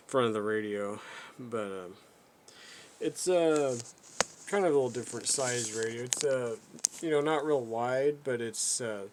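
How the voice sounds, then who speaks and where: A man giving a casual, unscripted product walkthrough as a video host, talking in a halting, low-key, rambling way. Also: background noise. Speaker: radio reviewer, video host.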